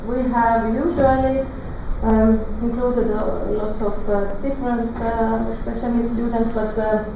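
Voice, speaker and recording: A woman with an Estonian accent, a native Estonian speaker, answering interview questions in English, halting and searching for words with many fillers. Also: on the high side, flat delivery. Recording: echoey room, distant mic, background noise.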